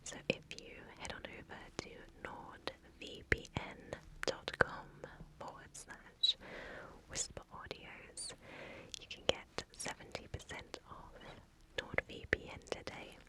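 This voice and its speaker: A woman whispering a sponsor message in ASMR style, as the creator of the video, entirely in soft unvoiced breath. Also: takes it slowly, rough recording.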